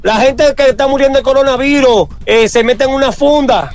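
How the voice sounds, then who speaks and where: A man's voice: very high-pitched, a little fast. A man with a Dominican accent arguing casually on the phone, blunt and defiant. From Dominican Republic. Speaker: prank call target, morgue worker.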